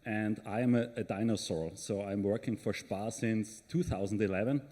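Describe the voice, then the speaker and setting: A man with an Austrian German accent speaks English in a low, mellow voice and often pauses to look for words. A long hall reverb trails behind him. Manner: hesitant, searching for words. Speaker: panel speaker. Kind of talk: conference talk.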